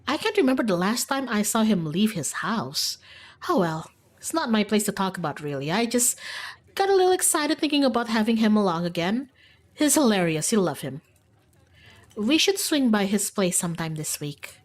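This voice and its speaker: Woman plays breezy young small-town gal in a visual novel, chatty monster-hunting enthusiast, very animated. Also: medium pitch, normal speed. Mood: eager, friendly.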